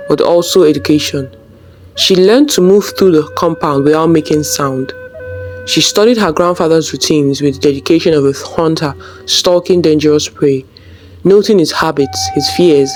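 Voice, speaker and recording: A storyteller reading a scripted folktale as its narrator. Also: mid-range voice.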